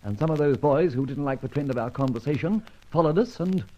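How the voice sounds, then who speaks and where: An actor playing a cool, wily detective with a breezy, cheeky delivery in an old-time radio drama. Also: male voice, on the high side, lively intonation, a little fast, a little mellow, dull audio, natural voice. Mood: wry, composed. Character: cool, wily male detective bluffing crooks. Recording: noisy vintage radio recording with clicks.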